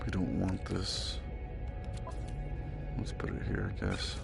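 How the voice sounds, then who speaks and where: A man's voice: low-pitched, sing-song, slow pace, creaky, over loud music. A man giving casual live commentary on a game, laid-back and mostly talking to himself, muttering along as he goes. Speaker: gaming streamer.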